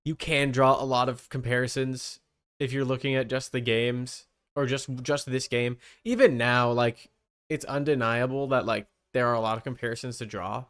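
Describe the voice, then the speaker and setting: A man's voice: mid-range voice, fairly even intonation, normal speed, fairly bright tone, clean tone. Skeptical man reacting casually on stream. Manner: skeptical, repeats himself for emphasis. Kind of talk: casual live reaction commentary.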